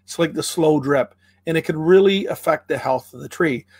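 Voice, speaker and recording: A man from Canada explaining tree care in a casual chat, relaxed and full of fillers and restarts, with playful comparisons. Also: on the high side, normal speed, slightly rough, no room sound. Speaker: tree care expert guest.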